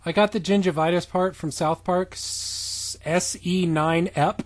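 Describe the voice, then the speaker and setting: A man's voice: sits high, fairly even intonation, a little slow. A man co-hosting a comedy podcast, narrating a bad story with mock seriousness and frequent laughter. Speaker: podcast co-host and narrator of the reading. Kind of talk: casual comedic reading and banter.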